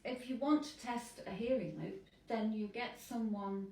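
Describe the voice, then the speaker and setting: A woman priest who lives with hearing loss, speaking earnestly and in measured phrases in an interview. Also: fairly high pitch, a little mellow, reverberant, far from the mic, a little hiss or hum, low-quality recording.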